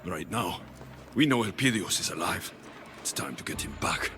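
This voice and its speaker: An actor playing a brooding, defiant ancient Greek warrior in a dramatic video game, with intense, weighty delivery. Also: male voice, low voice, lively intonation, moderate pace. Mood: grieving. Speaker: lead character in a video game.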